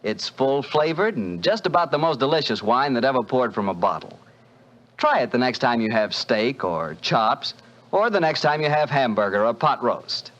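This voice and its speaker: A man's voice: medium pitch, animated, moderate pace, a bit bright, dull audio. A man announcing and reading an ad in upbeat, salesy 1940s radio style, from an old radio recording.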